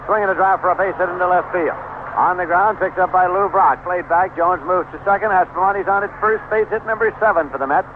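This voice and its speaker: A man's voice: high voice, fairly quick. Tinny, noisy vintage radio baseball announcer, male. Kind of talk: live radio sports commentary.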